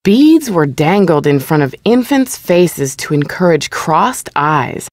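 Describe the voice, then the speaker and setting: An actress playing a museum exhibit narrator in a video game, giving formal, instructive scripted narration. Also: female voice, deep voice, sing-song, slow pace, darkish tone, dry recording, clean studio sound, heavily acted. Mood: measured, informative.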